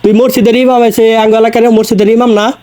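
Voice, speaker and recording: A man's voice: very high voice, flat delivery, fairly quick, mellow. A man playing an irate, bullying mosque committee president, scolding and interrogating on a prank call. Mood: angry scolding.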